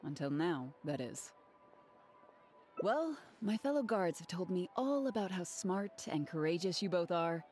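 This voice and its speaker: A woman's voice: lowish voice, big pitch swings, measured pace, darkish tone, a little hiss or hum. An actress voicing an earnest, businesslike female guard officer in a video game, speaking formally and articulately. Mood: earnest, appealing. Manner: formal, articulate, matter-of-fact. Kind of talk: scripted video game voice acting. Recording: game audio with background music. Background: background music.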